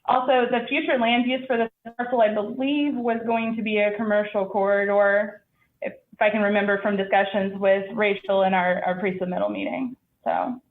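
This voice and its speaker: A woman's voice: mid-range voice, little pitch movement, moderate pace. Businesslike female company representative, assured public-hearing delivery, heavy room echo and narrow, tinny band. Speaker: applicant representative for a store chain. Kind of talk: presentation and answers at a public hearing. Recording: echoey room, thin band-limited sound.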